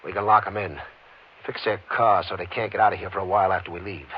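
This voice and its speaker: An actor playing a menacing, hard-boiled gang boss in a 1950s radio western, giving curt, threatening commands. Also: male voice, low-pitched, steady tone, fast, breathy edge. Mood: cold, threatening. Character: hard-boiled gunman, bossy, menacing smuggler gang leader. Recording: hissy, muffled old 1950s radio recording.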